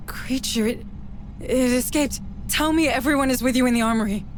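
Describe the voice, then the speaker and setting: A woman's voice: high-pitched, brisk, slight background noise, natural voice. An actress playing a tense, resourceful female officer hunted on a sci-fi station, urgent and commanding, in a horror game. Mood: frightened, urgent.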